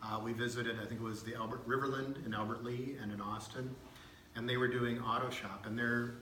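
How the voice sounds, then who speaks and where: A man's voice: mid-range voice, level tone, fairly quick, pushed and bright. A man in his fifties, a state legislator, answering at a town hall in a folksy, anecdotal way. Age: 50s. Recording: echoey room, faint background noise.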